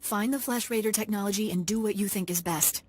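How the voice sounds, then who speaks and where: The earnest young daughter of an alien matriarch, voiced by a woman. Her voice is husky and mid-pitched. She speaks politely and with quiet resolve. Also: fairly quick, close to an everyday voice. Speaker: leader's daughter.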